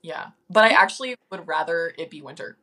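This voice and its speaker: A woman's voice: on the low side, lively intonation, fairly quick, sharp tone, slight background noise. A woman guest on a podcast, chatting casually and self-deprecatingly, with many likes and ums.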